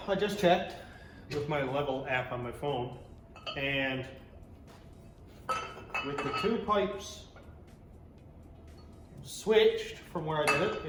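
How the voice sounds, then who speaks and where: A man's voice: medium pitch, noisy background. Casual man in an echoey workshop. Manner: thinks aloud while working, muttering to himself. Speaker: host of a DIY car build channel. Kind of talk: casual workshop vlog chat.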